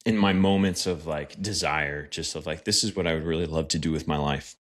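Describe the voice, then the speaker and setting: A man with a very deep voice speaks as a podcast guest, earnest and reflective. He pauses and fills gaps with "uh" and "like" as he thinks aloud. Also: a bit bright. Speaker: podcast guest, pastor and writer. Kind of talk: casual interview chat.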